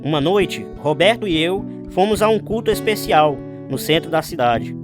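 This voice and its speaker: Earnest young man acted, fairly high, over music. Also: animated, normal speed, close to an everyday voice. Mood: earnest, reflective. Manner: earnest, sincere storytelling. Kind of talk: first-person dramatized testimony narration.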